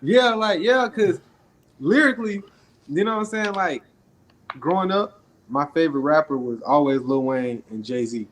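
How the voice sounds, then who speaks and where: A young man with a naturally raspy voice, deliberately projecting so he isn't heard as too quiet. He talks laid-back with many fillers, on a podcast mic. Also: fairly high pitch. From Atlanta, US. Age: young adult. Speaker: rapper guest. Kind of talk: casual interview talk.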